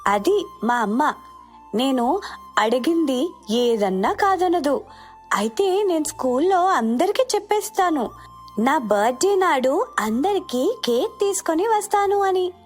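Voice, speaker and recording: A woman's voice: high-pitched, very animated, a little slow, soft background music, voice pushed up. A woman voicing a pampered, insistent little girl in a storybook tale, childlike and boastful. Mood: proud, excited. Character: pampered, insistent little girl, excited about her birthday. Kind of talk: storybook dialogue for a moral tale.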